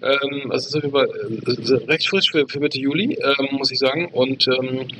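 A male podcast co-host chatting casually, haltingly repeating words as he searches for the next one. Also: mid-range voice, background noise. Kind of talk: casual chat.

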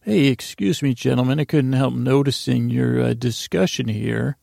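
A man voicing an earnest, overly polite city mediator who speaks in a soothing, flattering, halting way. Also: mid-range voice, vocal fry, dry recording, studio quality. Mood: polite, curious.

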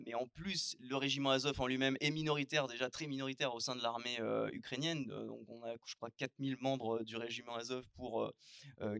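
A man's voice: mid-range voice, creaky, a bit bright, no room sound, clean studio sound. A man speaking on a discussion panel, hedging his figures with many fillers. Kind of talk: round-table discussion.

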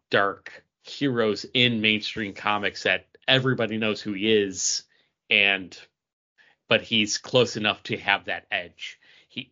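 Male co-host, sharp bright tone, lowish pitch, halting conversational delivery, lo-fi podcast sound. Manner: halting, thinking aloud with repeats. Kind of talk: casual podcast chat. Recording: dull, band-limited podcast audio.

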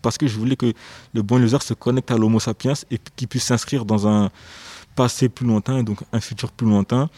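A man's voice: medium pitch, steady tone, fairly quick, warm tone, no room sound. A young man, an author being interviewed on the radio, speaking thoughtfully and hesitantly, often repeating words as he searches for phrasing. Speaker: author guest.

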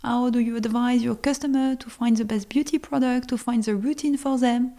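High-voiced French woman with a creaky edge. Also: fairly even intonation, a little fast, mellow. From France, born in Lebanon. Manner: discursive, searching for English words. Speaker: brand co-founder, interview guest. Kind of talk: conversational interview answers.

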